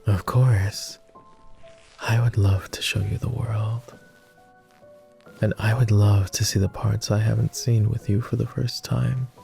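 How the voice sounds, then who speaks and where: A man voicing a gentle, devoted vampire, speaking softly and formally in an intimate roleplay ASMR style. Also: fairly low pitch, level tone, unhurried, soft background music. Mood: tender longing. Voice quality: soft, gravelly. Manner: posh, formal, tender. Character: gentle, teasing male vampire, devoted and wistful.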